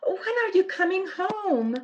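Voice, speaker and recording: Very high-pitched, tender, worried wife. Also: female voice, sing-song, unhurried, a little mellow, highs cut off, exaggerated voice. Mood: affectionate. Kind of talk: old-time radio drama acting.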